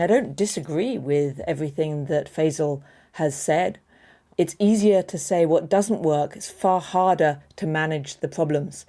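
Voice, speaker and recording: A woman moderating a policy debate, summarizing arguments and posing questions in a measured way. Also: low-pitched, mellow.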